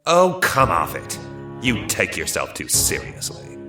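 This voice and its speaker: An actor playing a contemptuous, bullying Nationalist soldier in an audio drama, sneering and deliberate. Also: male voice, fairly low pitch, wildly swooping pitch. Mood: mocking. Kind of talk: dramatized audio story acting. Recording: roomy echo over background music.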